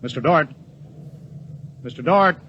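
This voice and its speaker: An actor playing a stern, wary spaceship captain who gives clipped, commanding orders in a 1950s radio drama. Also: male voice, a little high, lively intonation, unhurried, sharp tone, soft background music. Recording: muffled, noisy 1950s radio recording.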